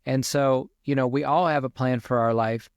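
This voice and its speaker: A man's voice: mid-range voice, fairly even intonation, normal speed, no echo, clean studio sound. A man in his forties hosting a faith podcast, speaking earnestly like a preacher to his listeners from a home office with a headset. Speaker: podcast host and minister. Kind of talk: podcast interview ending in a prayer. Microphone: over-ear headset.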